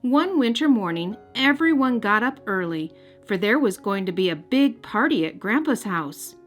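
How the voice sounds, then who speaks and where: Casting: female storybook narrator, medium register, expressive delivery aimed at children, light music bed. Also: medium pitch, moderate pace. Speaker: host and storybook reader. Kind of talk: children's read-aloud narration.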